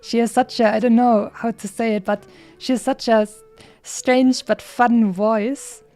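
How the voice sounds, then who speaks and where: A woman's voice: on the high side, fairly even intonation, measured pace. A woman game streamer giving casual, enthusiastic commentary in a rambling, hesitant way. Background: soft game music.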